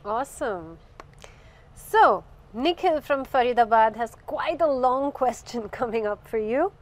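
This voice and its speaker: A woman hosting a live interview inside a parked car, bubbly and quick to laugh, reading out viewer questions. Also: a little high, lively intonation, a little mellow. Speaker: host. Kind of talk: upbeat hosted interview.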